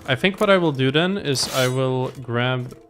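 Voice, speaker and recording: A man's voice: mid-range voice, moderate pace, fairly bright tone, soft background music. A man giving casual, chatty live gameplay commentary as a streamer, thinking aloud as he plays. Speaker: game streamer.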